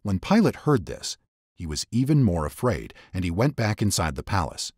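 Deep-voiced man solemnly narrating scripture. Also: big pitch swings, a little mellow, husky, dry recording, clean studio sound. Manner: solemn, deliberate storytelling. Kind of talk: dramatic scripture reading.

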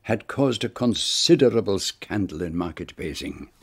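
An actor playing a fastidious, courteous Belgian detective with a French-speaking Belgian accent, formal and inquisitive, in a radio play. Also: male voice, low-pitched, expressive, breathy edge, exaggerated voice. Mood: courteous, probing.

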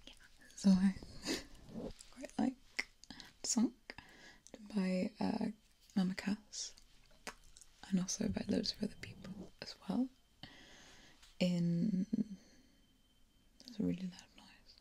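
Breathy whispering woman, very close. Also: medium pitch, fairly even intonation, takes it slowly, pauses often. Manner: whispers her chat and softly sings lullabies. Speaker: ASMR creator. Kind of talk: ASMR whispering and soft singing. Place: small dark indoor room. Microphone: binaural mic, close.

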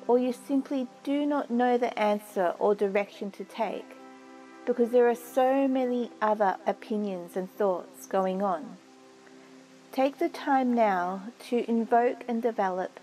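Female meditation guide, slightly high and mellow, measured and soothing, music behind. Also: rough recording. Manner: soothing, measured, with long pauses.

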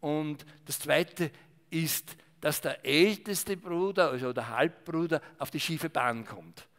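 A man's voice: fairly high pitch, slow pace, fairly bright tone. An older man giving a rambling talk as a historian in front of a small audience, full of fillers and restarts. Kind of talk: discursive public talk with slides. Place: salon event room with small audience. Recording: reverberant hall.

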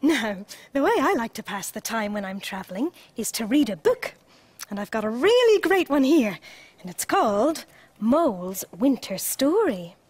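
A woman playing a friendly, excitable children's show presenter, talking in simple phrases with exaggerated expression. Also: a little high, normal speed, breathy edge, no room sound. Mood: pleased.

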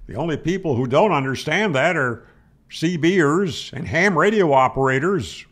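A man's voice: fairly high pitch, lively intonation, moderate pace, fairly bright tone. An older man giving an explanatory technical lecture to camera, emphatic, asking questions and answering them firmly, on a close mic. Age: older, 60s or 70s. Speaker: ham radio explainer, video host. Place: small home room, indoors. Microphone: close studio mic on boom arm.

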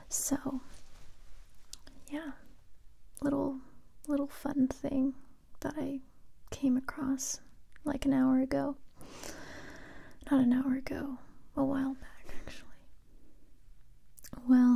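Young woman for ASMR roleplay: close-mic breathy whisper with some crackle, slow and gentle. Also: sits high. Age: young adult. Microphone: close-up microphone.

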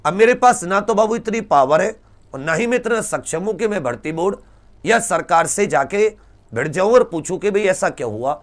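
A man's voice: high-pitched, animated, moderate pace. A man. He lectures informally like a coaching teacher, sounding exasperated. He often says a point twice and throws rhetorical questions at his students. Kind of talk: informal lecture explaining exam cut-offs to students. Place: indoor teaching studio at a large digital board. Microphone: lapel mic.

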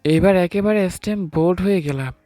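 A man's voice: high voice, fast talker, mellow, no echo, natural voice. A man playing a nervous, hesitant newlywed husband who narrates his own story in an audio romance. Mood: sheepish narrating. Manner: hesitant, sheepish. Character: timid, nervous newlywed husband, late twenties. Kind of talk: first-person story narration with acted dialogue. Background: music.

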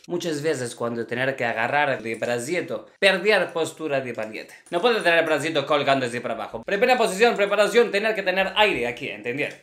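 Casting: exacting Russian ballet teacher played by a man, bright forceful voice, blunt comic critiques in broken phrases, roomy sound. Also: medium pitch, natural voice. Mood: instructive. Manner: broken Spanish with infinitive verbs, chanted counting syllables. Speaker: ballet teacher reviewing dancers' videos. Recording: roomy echo with faint music behind.

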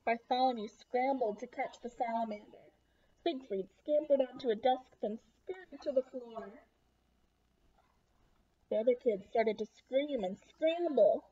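High-voiced young woman reading to children. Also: unhurried. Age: young adult. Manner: expressive, addresses young listeners with questions. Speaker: picture book read-aloud host. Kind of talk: children's storybook read-aloud with asides. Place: small room at home, indoors. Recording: dull lo-fi webcam sound.

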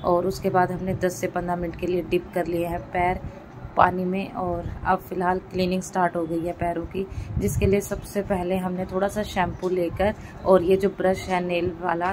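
A woman's voice: fairly low pitch, noisy background. A woman giving a casual step-by-step vlog voice-over, explaining and rephrasing her points as she goes. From India. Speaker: vlogger.

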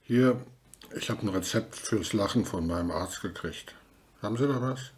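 A man's voice: lowish voice, moderate pace, natural voice. A man playing a gloomy, hesitant customer in a short radio play, grumbling and downcast. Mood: hopeless, asking. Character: gloomy, hesitant male customer who lost his laughter. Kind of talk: mini radio play dialogue in German. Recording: amateur recording with clear background noise.

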